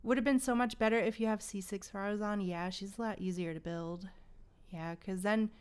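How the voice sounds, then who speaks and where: A woman's voice: medium pitch, fairly even intonation, normal speed, darkish tone, smooth. A woman streaming a game, chatting casually with viewers in gamer slang. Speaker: game livestreamer. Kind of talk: casual livestream chat.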